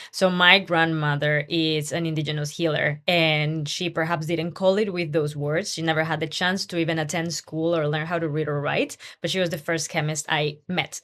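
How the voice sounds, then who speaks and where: A woman from Peru speaks in a slightly low voice, answering interview questions about her work. She sounds earnest and passionate, and she often restarts her phrases as she goes. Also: fairly bright tone. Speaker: scientist guest. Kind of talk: podcast interview conversation.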